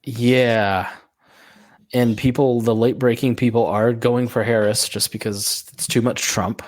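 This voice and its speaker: A man with a raspy, worn-out voice, hosting a casual political podcast in a loose, conversational way. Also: medium pitch, fairly even intonation, darkish tone, dry recording. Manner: loose, conversational, quick to joke. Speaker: podcast host.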